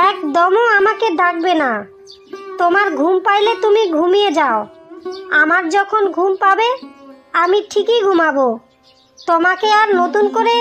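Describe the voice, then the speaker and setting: Woman acting very high, bitter jealous cartoon wife. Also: a bit bright. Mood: angry, sulking. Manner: complaining, sarcastic, vindictive. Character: jealous, resentful elder daughter-in-law, scheming and bitter. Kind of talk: Bengali moral-story cartoon acting. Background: background music.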